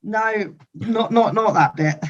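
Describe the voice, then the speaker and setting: Laid-back thirties man, high voice. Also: slow pace, dull audio. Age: 30s. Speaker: podcast guest. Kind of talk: casual group chat.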